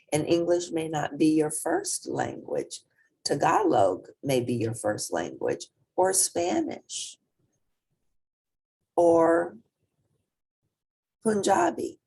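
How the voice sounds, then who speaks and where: Woman doing storytime, super animated, takes her time, really emphatic. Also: on the low side, vocal fry, husky. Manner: deliberate, emphatic storytelling with spoken asides to listeners. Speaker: children's story reader. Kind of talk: expressive picture book read-aloud.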